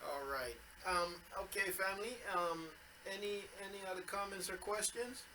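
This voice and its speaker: A man teaching a study group over a video call. His explanations are patient and unhurried, with plenty of ums and repeated words. Steady background noise runs under the noisy call audio. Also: sits high. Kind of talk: online scripture study.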